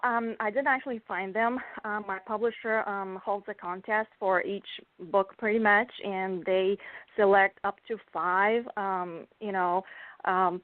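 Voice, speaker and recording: A woman's voice: medium pitch, dry recording. Chatty Bulgarian-born woman on a tinny line. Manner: chatty, laughs often, many ums and restarts. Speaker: guest, children's author. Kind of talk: casual interview chat. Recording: dry tinny phone-like line.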